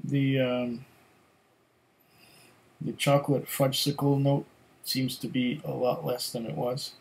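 Slow, musing middle-aged man tasting whisky. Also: medium pitch, level tone, vocal fry. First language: German. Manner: slow, musing, pauses to sniff and sip. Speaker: whisky reviewer. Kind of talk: casual tasting notes. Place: home room with shelves of bottles.

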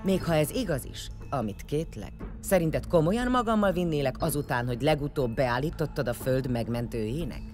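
Vengeful, swooping huntress. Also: female voice, sits low, lively intonation, hardly any pauses, darkish tone, faint music behind. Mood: menacing, mocking. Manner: sarcastic, threatening. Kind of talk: audio drama acting.

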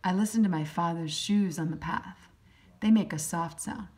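A woman reading a children's picture book aloud as a teacher, in simple, deliberate short phrases. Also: fairly low pitch, darkish tone. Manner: acts out sound effects. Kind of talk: children's storybook read-aloud.

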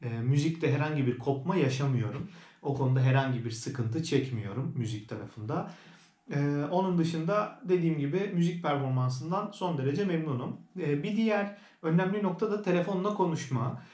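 A man's voice: fairly high pitch, brisk, darkish tone. A man giving a casual, conversational product review as a vlogger, explaining things as he goes. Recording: roomy indoor echo.

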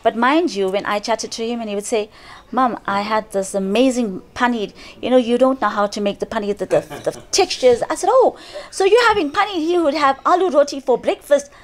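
A woman's voice: a little high, brisk. A middle-aged woman, chatting in an interview about her son's cooking and diet, seated in an indoor lounge. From South Africa. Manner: chatty, animated, with frequent restarts and hand gestures. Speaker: interviewee, cricketer's mother. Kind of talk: casual interview chat.

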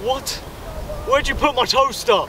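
A man's voice: very high voice, measured pace, background noise. A young man acting in an amateur short film outdoors, bewildered and exasperated. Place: outdoors in a park. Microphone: distant camera mic.

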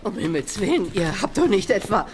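An actress playing a confident, slightly theatrical adult film actress in an audio drama. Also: female voice, medium pitch, very animated, brisk, close to an everyday voice. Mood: poised. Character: self-assured adult female film actress.

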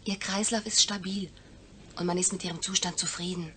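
Eine Schauspielerin spielt in einem deutschen Hörspiel eine höfliche, gefasste Krankenschwester mit förmlicher Art. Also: female voice, fairly low pitch, fairly even intonation, a little fast, sharp tone, a little hiss or hum. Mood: composed. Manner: formal, composed. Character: polite, composed female hospital nurse. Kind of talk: German radio play acting.

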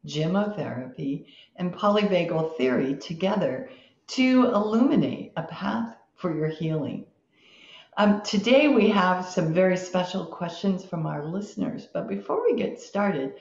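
An older woman hosting a podcast conversation in a measured way, pausing often. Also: lowish voice, vocal fry, a little mellow. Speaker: podcast host. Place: home room with bookshelves, video call. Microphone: computer mic on a video call. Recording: dull, echoey video-call sound.

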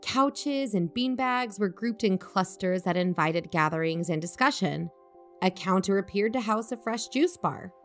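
An actress plays an earnest female podcast investigator. Her medium-pitched voice is composed and measured, telling the story like a report. Faint music sits underneath. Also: expressive. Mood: measured, descriptive. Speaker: podcast host. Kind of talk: scripted narration in audio drama.

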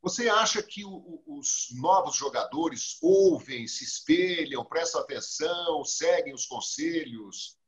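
Storytelling Brazilian man host, a bit high. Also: measured pace, few pauses. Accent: Brazilian Portuguese. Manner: storytelling, lively quoting of others. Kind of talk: casual talk show chat. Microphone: video call webcam.

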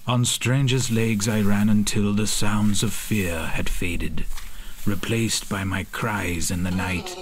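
An actor playing an anguished reanimated monster, a man reciting his story gravely in measured horror verse. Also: lowish voice, fairly even intonation, slight background noise. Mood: yearning, intense. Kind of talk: dramatic horror monologue.

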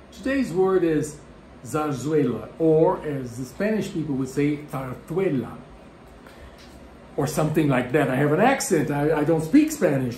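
Animated Brazilian man in a roomy home. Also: fairly high pitch, a little hiss or hum. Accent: Brazilian Portuguese. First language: Portuguese. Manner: animated, gesturing, self-deprecating asides. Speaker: music educator. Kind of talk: explainer video to camera. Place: home room with bookshelves. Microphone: camera at arm's length. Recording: roomy home room with faint ambience.

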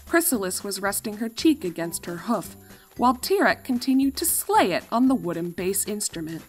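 A woman narrates a scripted tale in a medium-pitched voice. Her reading is dramatic and highly animated, with soft music underneath. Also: husky. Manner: dramatic, expressive. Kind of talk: expressive scripted story narration.

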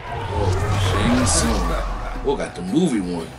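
A man hosts a casual game reaction video in a lively, exclamatory way. His pitch moves around a lot. He sounds some distance from the mic, with music behind him. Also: takes it slowly, a loud crowd. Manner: informal, exclamatory. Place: small room with shelves of collectibles.

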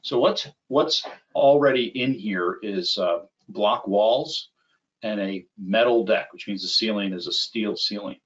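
A middle-aged man giving a conversational, step-by-step software demo as a webinar presenter. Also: lowish voice. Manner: conversational, unhurried step-by-step explaining. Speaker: webinar presenter, applications engineer. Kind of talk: live software demo, explanatory. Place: small indoor room at a computer. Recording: slightly dull, band-limited webinar audio.

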